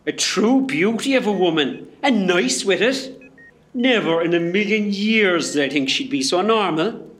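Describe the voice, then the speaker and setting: An actor with a West Cork Irish accent playing a cheeky, teasing young hospital worker in a comedy radio play. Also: male voice, high voice, lively intonation, a little slow, a bit bright. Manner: teasing, jokey.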